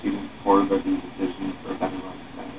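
A young man doing an animated dramatic reading of dialogue, playing all the parts himself in a halting delivery. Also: low voice. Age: young adult. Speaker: author reading own script aloud. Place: small room at home, seated. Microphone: far camera or webcam microphone. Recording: muffled phone-like webcam sound, distant, noisy.

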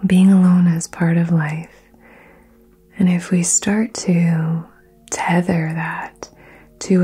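A woman whispering soothing affirmations in an ASMR session, soft and gentle. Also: on the low side, slightly rough. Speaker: ASMR host.

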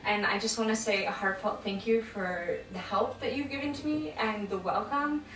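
A woman's voice: medium pitch, moderate pace, a bit bright, roomy sound, recorded from a distance, slight background noise, poor audio. A young woman vlogger hosting a housewarming gathering of friends, chatting casually, giggly and heartfelt. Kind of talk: casual chat. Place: small apartment room with friends.

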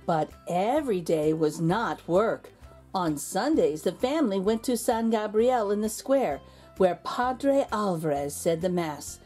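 A woman with a mellow voice reads a children's story aloud. Her delivery is expressive and deliberate, in a medium pitch. Soft music plays behind her. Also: slightly rough. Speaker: children's read-aloud host. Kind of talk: storybook narration.

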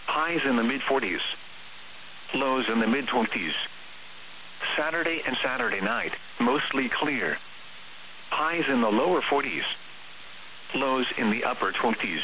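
A synthetic-sounding male announcer reads a scripted weather bulletin in a measured, formal way over broadcast radio. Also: medium pitch, very animated, creaky. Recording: hissy, narrowband radio reception.

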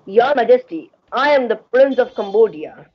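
A young amateur performer reading gentle, courteous roles in a radio skit, deliberately and from a script. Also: mid-range voice, animated, slightly rough. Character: sad caged bird, and a courteous young prince. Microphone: video call. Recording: dull lo-fi video-call audio.

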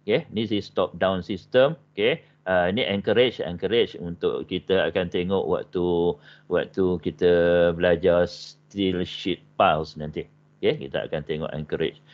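A man's voice: low-pitched, fairly even intonation, a little slow. An older man with a Malaysian Malay accent giving an online lecture, peppering his talk with "okey" and English technical terms. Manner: frequent "okey" fillers, mixes in English terms. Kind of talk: online lecture on basement construction. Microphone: webcam on a video call. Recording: dull video-call audio.

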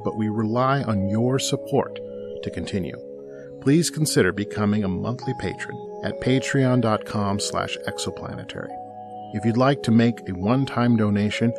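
A man reading scripted narration as an announcer. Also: lowish voice.

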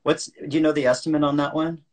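A man's voice: a little high, level tone, fast. A man in his forties hosting an interview over a video call, asking short prompting questions and adding brief reactions. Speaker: podcast host, interviewer. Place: home room indoors. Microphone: video call webcam.

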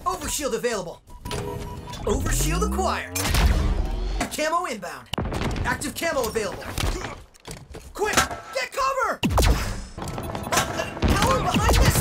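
An actress playing an eager but squeamish, anxious AI combat companion in a video game. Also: female voice, high-pitched. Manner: nervy, expressive, encouraging. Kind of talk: video game announcer lines. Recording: loud game music and gunfire behind. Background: loud music, gunfire.

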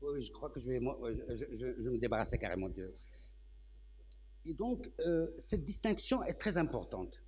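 A man's voice: medium pitch, very animated, moderate pace, a little mellow. A man in his sixties from Morocco, speaking as a translator on a round-table panel, thoughtful with many hesitations and restarts, on a handheld mic on an indoor festival stage. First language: Arabic. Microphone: handheld microphone.

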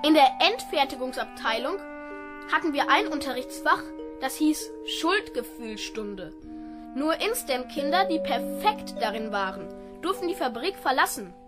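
Woman doing a well behaved little boy, high voice, super polite and proper, radio play w music behind. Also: big pitch swings, moderate pace. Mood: solemn, troubled. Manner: precise, polite, formal diction. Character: earnest, well-mannered, dutiful seven-year-old boy.

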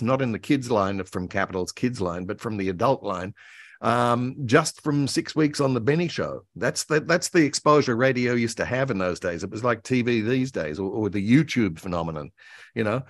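A man's voice: mid-range voice, animated, moderate pace, dry recording, studio quality. Older man reminiscing in an interview. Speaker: guest author and voice actor. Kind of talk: enthusiastic anecdotal interview talk about old radio and cartoons. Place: indoor room at home, video call. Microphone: video call.

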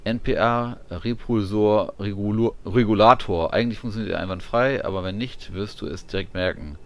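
A man's voice: on the low side, moderate pace. A man giving casual gameplay commentary, muttering along as he reads menu items, with game sound behind him. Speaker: gamer commentating his playthrough. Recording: clear background noise, game ambience.

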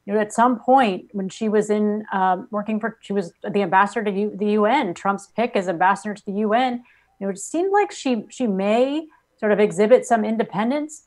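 An American woman in her forties giving earnest, halting political commentary as an interview guest on a video call. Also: mid-range voice. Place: small home office on a video call. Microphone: video call at desk distance.

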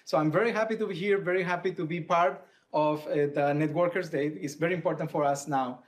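A man's voice: fairly high pitch, fairly quick. A man in his forties with a Costa Rican Spanish accent, explaining semi-formally and hesitantly on a video call. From Costa Rica. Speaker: technical manager, panel guest. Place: small plain office room, indoors, on a video call.

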